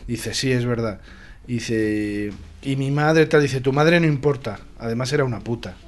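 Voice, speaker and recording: A man with a Spain accent speaks in a medium-pitched voice. He discusses cinema in a casual, opinionated way, stressing his points emphatically. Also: normal speed. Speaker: film discussion panelist.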